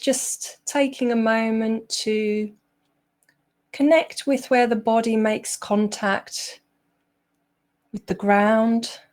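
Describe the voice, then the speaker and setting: A woman guiding a meditation as a teacher, speaking gently and leaving long pauses. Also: fairly high pitch. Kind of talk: guided meditation.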